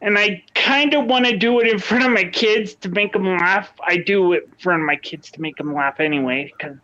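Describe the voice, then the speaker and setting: A casual podcast co-host recorded at home on a personal mic. The voice sounds bright and forward, but the recording is dull and lo-fi. They ramble a little, with frequent ums and restarts. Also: mid-range voice, fairly quick. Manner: hesitant, many ums and restarts. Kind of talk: casual chat. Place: home, with children in another room. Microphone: own home mic.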